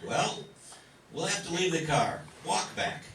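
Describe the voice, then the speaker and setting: A man's voice: a little high, big pitch swings, unhurried, fairly bright tone. A man playing a stubborn, nostalgic family father in an old-time radio comedy. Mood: resigned. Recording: roomy, distant mic, faint noise.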